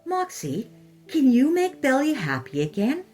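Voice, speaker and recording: Innocent little girl played by a woman, earnest and childlike, raised pitch, horror storybook reading. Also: wildly swooping pitch, a little slow, darkish tone, soft background music, heavily acted. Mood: hopeful pleading. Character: small, tender-hearted young girl, innocent and worried.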